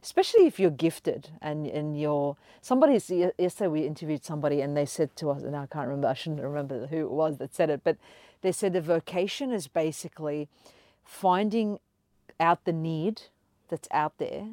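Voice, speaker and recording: A woman's voice: deep voice, brisk, mellow, no echo, studio quality. A woman hosting a podcast interview, encouraging her guest, talking with lots of hesitations and fillers. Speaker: podcast host. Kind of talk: conversational interview.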